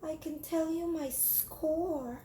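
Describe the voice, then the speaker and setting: A woman acts out a small, earnest schoolgirl from a storybook. Her voice is very high and hesitant, with a plaintive edge. It rings in an echoey room. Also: steady tone, slow, warm tone. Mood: sheepish, sorry. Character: earnest, anxious young schoolgirl.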